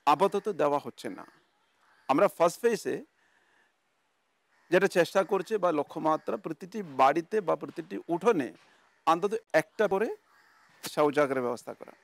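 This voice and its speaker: A man's voice: fairly high pitch, lively intonation, normal speed, creaky, long pauses, no room sound. A man with a West Bengal accent explaining policy to the public as a government official.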